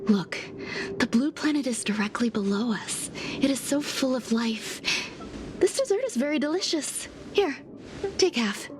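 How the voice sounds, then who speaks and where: A woman playing a serene, aloof genius scientist in a video game, speaking in a measured, formal, gently detached way. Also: high-pitched, expressive, noisy background. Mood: soft, dreamy. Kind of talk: video game character acting. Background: music.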